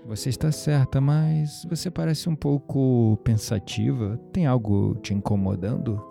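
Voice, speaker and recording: An actor playing a calm, kindly, mystical shopkeeper in an audio drama, with a Brazilian accent and a soft, unhurried, reflective way of talking. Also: male voice, medium pitch, gravelly, faint music behind. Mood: gently concerned. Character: calm, kindly mystical young man, incense shop keeper.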